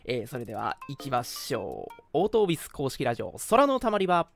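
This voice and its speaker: A man's voice: medium pitch, huge pitch swings, a little fast, gravelly, music in the background. A young man hosting a casual live radio talk show, chatting with teasing banter. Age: young adult.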